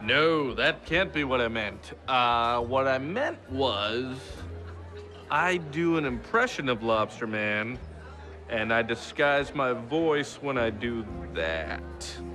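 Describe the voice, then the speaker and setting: An actor playing a flustered, evasive secret hero in comic film dialogue. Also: male voice, mid-range voice, expressive, slow, sharp tone, soft background music. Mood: flustered denial.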